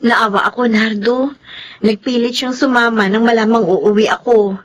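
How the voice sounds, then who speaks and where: An actress playing a kindly, devoted nanny in a Filipino radio drama, narrating and acting with a gentle, caring manner. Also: female voice, a little high, steady tone, moderate pace, close to an everyday voice. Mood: caring, concerned. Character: kindly, devoted nanny and mother telling her story. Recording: music bed behind the voice.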